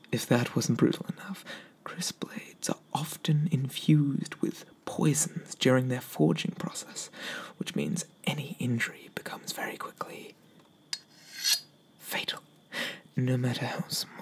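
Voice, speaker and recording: Guy doing asmr shopkeeper roleplay, super breathy whisper, kinda creaky, slow n polite. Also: medium pitch, darkish tone. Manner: slow, hesitant, courteous sales patter. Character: polite, eager male weapons merchant obsessed with swords. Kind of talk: softly spoken ASMR roleplay. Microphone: close microphone.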